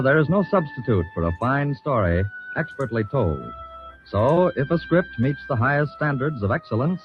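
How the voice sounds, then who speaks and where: A man announcing a radio drama program in the formal style of 1940s American broadcasting. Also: medium pitch. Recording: dull old radio broadcast, music behind. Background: music.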